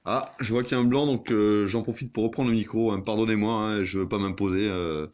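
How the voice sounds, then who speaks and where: A man's voice: fairly low pitch, steady tone, brisk, a little mellow, lo-fi sound. A man chatting casually as an amateur radio operator, with crude, joking, sweary banter.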